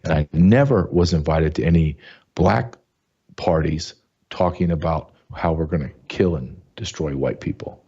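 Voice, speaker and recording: A man's voice: low voice, warm tone, slightly rough, lo-fi sound. A man hosting his own talk show, speaking emphatically and often restarting and repeating words. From American South. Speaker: show host. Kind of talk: casual commentary monologue.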